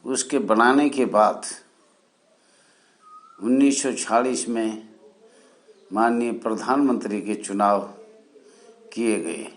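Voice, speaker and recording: A man from India hosting a channel, explaining history in a deliberate, halting way with short phrases and formal honorifics. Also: on the low side. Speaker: channel host. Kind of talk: spoken history explainer for viewers.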